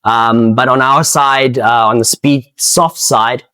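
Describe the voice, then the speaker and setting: A man with a South African accent giving casual, unscripted interview answers in a hesitant, halting way, often repeating words. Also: medium pitch, fairly even intonation, pushed and bright, clear, resonant tone. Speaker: interviewee, amateur speedsoft player and organiser.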